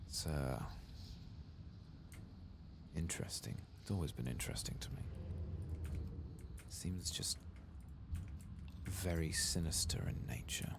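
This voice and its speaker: A man with an extremely low, crackling voice hosts a gaming ASMR session. He speaks softly and unhurriedly, sometimes slipping into a whisper, in a hushed mutter. Noise is clearly present behind him. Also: slow, long pauses. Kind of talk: soft-spoken, whispered ASMR game playthrough.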